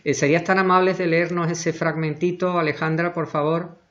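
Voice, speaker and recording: Formal male host, halting, muffled. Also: fairly high pitch, flat delivery, a bit bright. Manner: hesitant, many fillers and restarts, formal. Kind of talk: interview hosting. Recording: muffled lo-fi audio.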